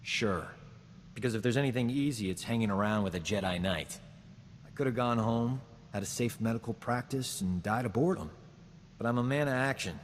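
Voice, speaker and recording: An actor playing a boastful, smooth-talking combat medic in a video game, joking and flattering himself. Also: male voice, medium pitch, fairly quick, in a large hall, slight background noise, lightly acted. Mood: cocky. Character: cocky boastful man, a frontier combat medic. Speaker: companion character in a video game. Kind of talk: video game character dialogue.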